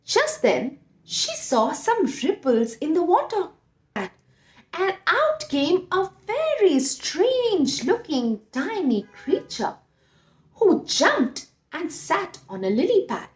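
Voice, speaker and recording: A woman telling a story to young children, expressive and speaking directly to her listeners. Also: extremely high pitch, slow pace. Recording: roomy echo.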